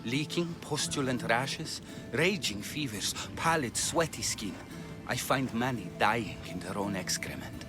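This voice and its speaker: An actor playing a compassionate, troubled physician of ancient Greece in a video game, speaking earnestly and gravely. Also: male voice, medium pitch, lively intonation, unhurried, natural voice. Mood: grave, sorrowful. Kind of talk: dramatic video game acting. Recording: music behind the voice.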